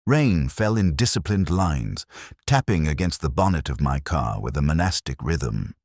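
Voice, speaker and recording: A man narrating a literary detective story in the first person as a world-weary inspector, measured, reflective and melancholy. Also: extremely low pitch, big pitch swings, a little mellow, no echo, studio quality.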